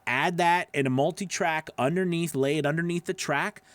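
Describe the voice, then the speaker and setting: A man's voice: a little high, moderate pace, a bit bright, no room sound. A middle-aged man giving casual, conversational advice as a host, emphatic and often checking in with the listener, close on a studio microphone. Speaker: host, voice-over coach. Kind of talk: casual advice to live viewers' questions. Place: small home studio, indoors. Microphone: broadcast microphone on a boom arm, close.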